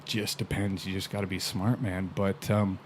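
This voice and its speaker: Brief: male podcast guest, lower register, easygoing storyteller, casual cursing, faint hum behind. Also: flat delivery, normal speed. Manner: laid-back storyteller, swears casually, chuckles often. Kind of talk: casual chat. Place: podcast recording in Canada.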